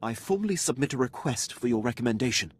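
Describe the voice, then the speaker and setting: An actor playing a dutiful, formal military officer in a video game, deferential and precise, with clipped phrasing. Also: male voice, medium pitch, lively intonation, quick pace, husky. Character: dutiful, formal male imperial military officer, loyal subordinate. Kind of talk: video game character acting.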